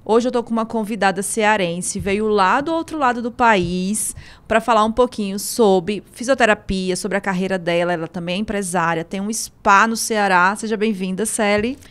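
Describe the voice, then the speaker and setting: A woman's voice: medium pitch, normal speed, a bit bright, clear, resonant tone. A woman host with a Northeastern Brazilian accent, chatting in an upbeat, talkative way as she runs a casual interview. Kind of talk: casual talk-show interview.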